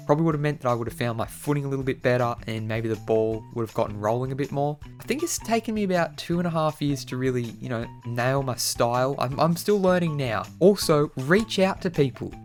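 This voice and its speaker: A young Australian man gives a casual, playful Q&A to camera in a mid-pitched voice. He talks informally, like a YouTuber addressing his followers, with faint music behind him. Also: lively intonation, brisk. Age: early 20s. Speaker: YouTuber answering viewer questions. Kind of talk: casual Q&A video chat.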